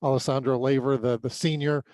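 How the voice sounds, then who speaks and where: American male sports co-host, mid-pitched, halting off-the-cuff recap, very dry sound. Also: steady tone, darkish tone, dry recording. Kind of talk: unscripted sports recap commentary.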